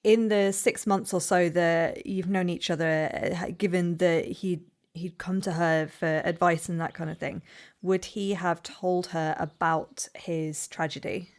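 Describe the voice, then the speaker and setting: A woman with a fairly low voice plays a tabletop roleplaying game. She improvises her character's actions and chats at the table. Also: steady tone, measured pace. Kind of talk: improvised narration and table talk.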